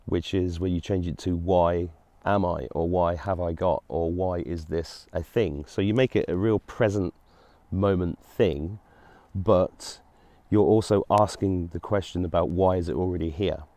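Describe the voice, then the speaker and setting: A man's voice: low voice, fairly even intonation, a little slow, warm tone, dry recording. A middle-aged man hosting his own show, talking earnestly and rambling, with many restarts. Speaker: host. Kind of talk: solo monologue to camera.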